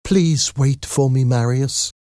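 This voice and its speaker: A man's voice: mid-range voice, slow pace, mellow, no echo, studio quality, voice pushed up, lightly acted. A man voicing an emotional young woman, a lovestruck and devoted daughter, in an audiobook story. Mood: urgent, pleading. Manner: earnest, emotional pleading.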